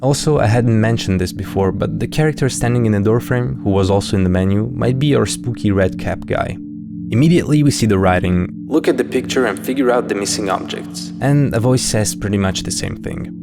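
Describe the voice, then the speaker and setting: Low-voiced man narrating over music. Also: gravelly. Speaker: host of a horror series breakdown video. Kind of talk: scripted video commentary. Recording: music behind the voice.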